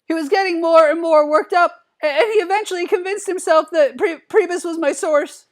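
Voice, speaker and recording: A man's voice: extremely high pitch, fairly quick. A man voicing a nervous, timid adolescent cartoon boy, stuttering through narration in a comedic dramatic reading. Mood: flustered, earnest. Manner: anxious stuttering, repeated word starts.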